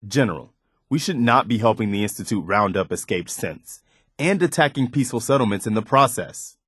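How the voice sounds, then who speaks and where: An actor playing an earnest, principled militia companion in a post-apocalyptic video game, sincere and plainspoken with folksy turns of phrase. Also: male voice, fairly low pitch, expressive, fairly quick, a bit bright, husky, no room sound, studio quality. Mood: disapproving, resigned.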